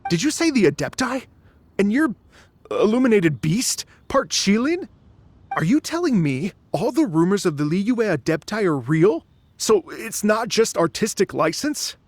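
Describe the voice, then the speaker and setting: A man's voice: high voice, sing-song, measured pace, no room sound, exaggerated voice. A man playing an earnest, courteous and slightly flustered musician in an anime video game. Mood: astonished. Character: earnest, hopeful, polite male musician.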